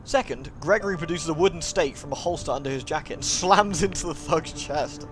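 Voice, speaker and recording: Higher-pitched man narrating a game livestream. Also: fairly quick, music in the background. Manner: dramatic storytelling narration, breaking into playful chatty asides. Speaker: live streamer. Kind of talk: reading a visual novel aloud with casual asides to chat.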